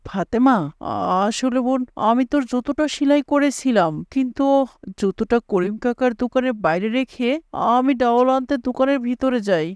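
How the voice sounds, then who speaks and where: Woman voicing an earnest, clear-voiced village boy. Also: a little high, a little mellow, no echo, studio quality, natural voice. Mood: worried. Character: earnest, caring village boy, protective older brother. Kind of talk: animated moral story dialogue.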